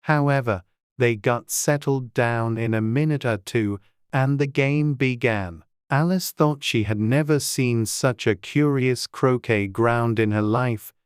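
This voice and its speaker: Audiobook narrator: mid-pitched, crisp and smooth, scripted storybook reading in a dry, close recording. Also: unhurried, no echo.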